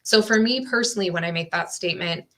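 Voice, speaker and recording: A woman's voice: fairly low pitch, fast talker, fairly bright tone. A woman guest on an interview podcast, a coach, talking in an earnest, reflective, explanatory way. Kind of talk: casual interview chat.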